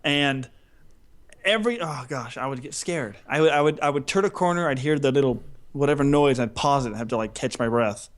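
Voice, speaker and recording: A man co-hosting a podcast in casual chat, telling stories in an animated, self-deprecating way. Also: mid-range voice, a little fast. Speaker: podcast co-host.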